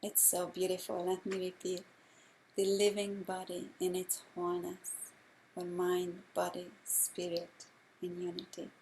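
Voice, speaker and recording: A middle-aged woman from Hungary with a Hungarian accent, a breathwork instructor telling her story in a gentle, measured way. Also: lowish voice, monotone, slight background noise, rough recording. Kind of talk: slow guided talk.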